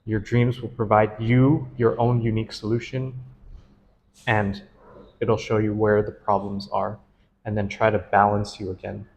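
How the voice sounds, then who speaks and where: A man's voice: lowish voice, flat delivery, unhurried, creaky, a little mellow. A man, a dream analyst, interviewing a client in an earnest, enthusiastic and easily laughing way. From United States. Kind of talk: casual interview.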